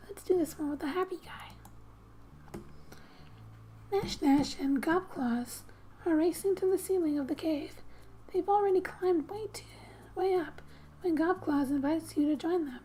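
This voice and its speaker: A soft-spoken woman reading game text aloud in a gentle, hushed ASMR style with musing asides, often slipping into a whisper. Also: extremely high pitch, a little hiss or hum. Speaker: gaming ASMR host.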